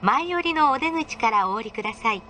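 A woman's voice: a little high, expressive, brisk. A woman giving a scripted train announcement in a polite, formal, carefully enunciated way. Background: faint train running noise.

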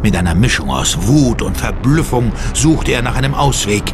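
An actor narrating a horror audio drama in a grave, measured, dramatic manner. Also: male voice, mid-range voice, expressive, over music, close to an everyday voice. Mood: suspenseful.

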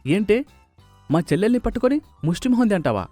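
Guy putting on a touchy defensive husband in a telugu cartoon, raised pitch, indignant, bit of music. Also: big pitch swings, fast, warm tone, no room sound. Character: irritable husband, defensive and argumentative. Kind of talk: animated moral story dubbing.

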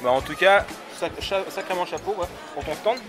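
A man's voice: sits high, brisk, music in the background. A man making a video at a convention, chatting enthusiastically and casually with an exhibitor. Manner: enthusiastic, slangy, agreeing. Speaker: video maker and interviewer. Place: busy convention hall, indoors. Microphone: handheld recorder in his own hand.

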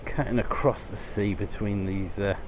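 A man's voice: on the low side, fairly even intonation, moderate pace. Middle-aged man vlogging in windy noise. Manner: enthusiastic. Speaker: vlogger and landscape photographer. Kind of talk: casual outdoor vlog. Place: outdoors on a windy seafront. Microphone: small wireless clip-on lapel mic. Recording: windy outdoor noise, sea roar.

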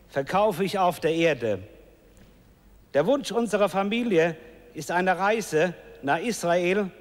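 A German man giving a slow, mock-formal announcement as a game show contestant. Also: high-pitched. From Essen, Germany. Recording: reverberant TV studio.

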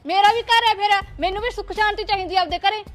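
A woman's voice: very high voice, steady tone, quick pace, fairly bright tone, soft background music. A young woman playing a quarrelsome daughter-in-law who scolds and argues. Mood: scolding, defiant. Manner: scolding, argumentative. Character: sharp-tongued young wife, quarrelsome, stingy.

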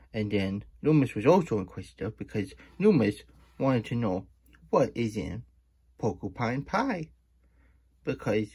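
A man's voice: on the low side, dark tone, husky, no echo, heavily acted. A man voicing a cheerful, childlike plush hedgehog who reads storybooks with chatty asides in muddled third-person baby talk. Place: small indoor room at a desk.

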